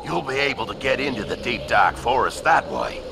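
An actor playing a blustering, shifty mountain camper in a cartoon video game, boastful, defensive and rambling. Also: male voice, medium pitch, lively intonation, fairly quick, creaky, background noise.

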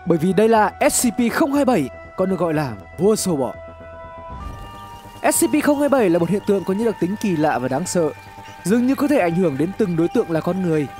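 A man's voice: sits high, sing-song, fast, dark tone. A man reads scripted horror story narration in a dramatic, suspenseful storytelling manner. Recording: music behind the voice. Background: background music.

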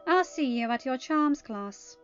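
A woman reading as a high, officious court lady. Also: lively intonation, unhurried, lo-fi sound, soft background music. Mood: condescending. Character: condescending, officious young woman of a royal court.

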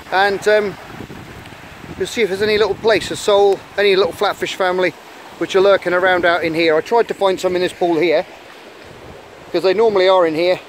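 A middle-aged man casually narrating a nature vlog outdoors at rock pools, chatty and enthusiastic as he handles sea creatures. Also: high voice. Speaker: channel host. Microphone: camera held in hand at the shore. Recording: outdoor wind noise on mic.

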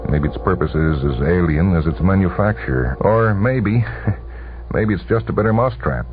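Man playing a wry, sardonic mercenary, pitched up. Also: animated, moderate pace, noisy background. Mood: sardonic, probing. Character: wiry sour cool-headed male mercenary gambler. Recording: tinny vintage radio broadcast, music behind.

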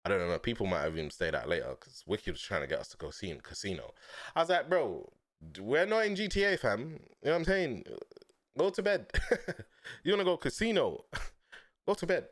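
A man, chatting casually on a stream from a small dim room through a headset, telling stories in relaxed slang and laughing often. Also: medium pitch, fairly quick, dry recording, clean studio sound. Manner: laid-back slangy storytelling, laughs often.

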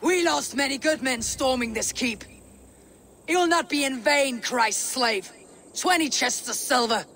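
A woman's voice: high voice, measured pace, fairly bright tone, slight background noise. A woman playing a battle-hardened Viking warrior in a video game, with a curt, menacing manner. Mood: stern. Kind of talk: dramatic game cutscene acting.

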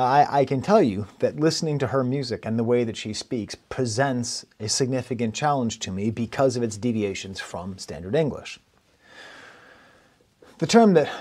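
A man's voice: mid-range voice, dark tone. A man in his forties from the Northeastern US, giving a wry, self-deprecating video essay from a home study with bookshelves. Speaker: music reviewer and language academic.

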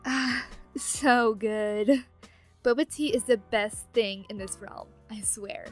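A woman playing a friendly, teasing young ghost roommate in an ASMR roleplay audio drama, chatty and expressive. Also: a little high, slow pace, faint music behind. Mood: cheerful.

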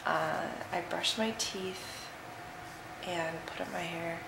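A woman's voice: fairly low pitch, fairly even intonation, slow pace, vocal fry. Young woman rambling on her vlog, handheld cam, bare echoey rooms, some background noise. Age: young adult. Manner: chatty, rambling with fillers. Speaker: vlogger. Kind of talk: casual vlog. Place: empty house with bare rooms, indoors. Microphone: handheld camera at arm's length. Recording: echoey empty room, audible noise.

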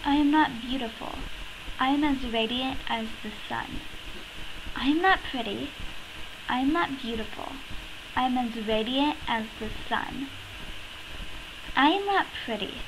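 Woman acting a brave high-voiced teenage girl. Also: a little slow, pauses often. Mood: earnest. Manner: declarative, repeated audition lines. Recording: crackly, buzzing built-in computer microphone.

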